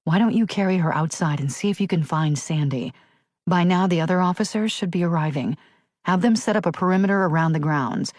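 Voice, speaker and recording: A woman's voice: fairly low pitch, brisk, dry recording, studio quality, lightly acted. Woman narrator voicing a tough, protective policewoman. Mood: firm. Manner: urgent, forceful commands. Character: tough, commanding female small-town police officer, protective. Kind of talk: audiobook character dialogue.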